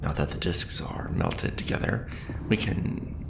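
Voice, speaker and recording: A man playing a seasoned, patient and wry craftsman teacher in an ASMR roleplay, speaking in a measured, hushed way with dry humor. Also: rumbling low, wildly swooping pitch, creaky, roomy sound, background noise, exaggerated voice. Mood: focused. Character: seasoned male sci-fi fantasy mask-forging craftsman teacher, patient, wry. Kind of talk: ASMR roleplay, instructional monologue.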